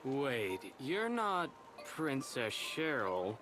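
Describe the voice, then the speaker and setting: A man's voice: mid-range voice, sing-song, extremely slow, over music. A man playing a chatty, cheeky street merchant in a video game, casual and teasing. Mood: pitching wares. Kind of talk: video game cutscene acting.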